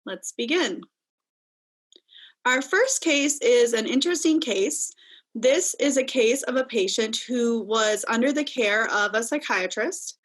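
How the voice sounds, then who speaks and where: A woman's voice: a little high, lively intonation, unhurried, a bit bright. A woman clinician presenting a webinar case, explaining test results in a methodical, step-by-step way over a video call.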